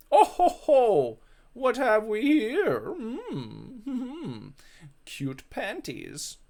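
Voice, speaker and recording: A man's voice: sits high, voice pushed up, exaggerated voice. A man voicing a mischievous, vain, lecherous male ghost in a theatrical, exclamatory way for a visual novel. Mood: lecherous, gleeful.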